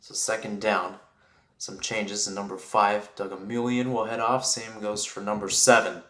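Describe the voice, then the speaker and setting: A man's voice: on the low side, level tone, normal speed, fairly bright tone. Male football play-by-play commentator, echoey room. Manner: conversational, self-correcting asides. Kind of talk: live American football radio-style commentary.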